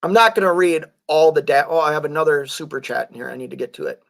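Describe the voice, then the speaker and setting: A man with a somewhat high voice chats loosely with his live audience as a truck driver. His voice is fraying toward hoarseness. He halts often, clears his throat and leans on fillers. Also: quick pace, a bit bright. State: voice going hoarse. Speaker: truck driver and livestream host. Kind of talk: casual livestream chat reading viewer comments.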